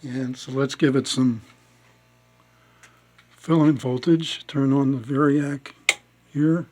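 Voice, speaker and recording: A man giving a casual step-by-step demonstration as a hobbyist, speaking haltingly with pauses as he works. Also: mid-range voice, flat delivery, warm tone, slight background noise. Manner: halting, pausing mid-sentence as he works. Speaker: hobbyist restoring a vintage radio.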